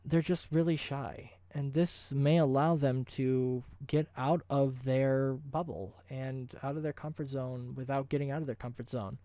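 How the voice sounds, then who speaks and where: A man's voice: medium pitch, fairly even intonation, a little slow, hardly any pauses, mellow, dry recording. A man hosting a video, talking casually and off the cuff in an effusive, rambling promotional style. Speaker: video host. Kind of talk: casual ad-libbed promotional vlog talk.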